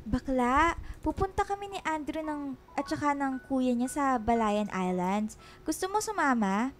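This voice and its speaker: A woman's voice: high voice, lively intonation, measured pace, slight background noise. A woman playing a teasing, bossy young best friend in a Filipino radio drama, exclamatory and slangy. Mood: excited. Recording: music behind the voice.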